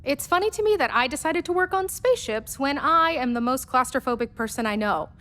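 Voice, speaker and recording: A woman's voice: high voice, lively intonation, a little fast, fairly bright tone, a little hiss or hum. An actress playing a self-mocking, troubled woman spaceship engineer, delivering a confiding, wry monologue in a radio play. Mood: wry, confessional.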